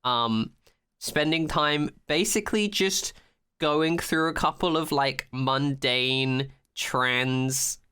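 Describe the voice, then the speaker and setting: A man's voice: mid-range voice, slow pace, sharp tone, clear, resonant tone. A man co-hosting a podcast, chatting casually in blunt, slangy phrasing with free swearing. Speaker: podcast host.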